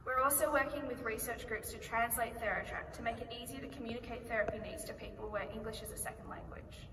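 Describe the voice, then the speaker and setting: A woman with an Australian accent giving a rehearsed conference pitch, speaking in a clear and measured way and posing rhetorical questions. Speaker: company founder pitching. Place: large conference hall. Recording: echoey hall recorded from far off, noisy.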